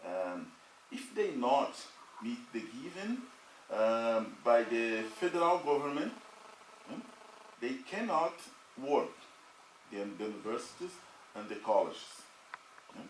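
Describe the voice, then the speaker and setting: A man from Brazil in the audience asking a question in halting English, hesitant with many fillers. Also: medium pitch, very animated, a little hiss or hum. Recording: echoey hall, distant mic.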